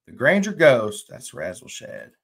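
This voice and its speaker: A man's voice: mid-range voice, wildly swooping pitch, unhurried, fairly bright tone, slightly rough. A chatty man in his thirties with a drawl hosts a casual fishing live stream. He reads viewer comments aloud and talks back to them, recorded on a desk webcam in a plain indoor room. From Texas. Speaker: fishing channel host.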